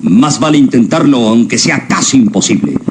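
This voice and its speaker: An actor playing a stern, stubborn general in a radio drama, commanding and insistent in clipped orders. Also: male voice, medium pitch, lively intonation, normal speed, background noise, natural voice. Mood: firm, urgent.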